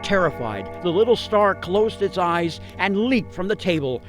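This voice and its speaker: A man's voice: high-pitched, expressive, a little slow, soft background music. A man narrating a scripted radio story in a theatrical, suspenseful, dramatic way.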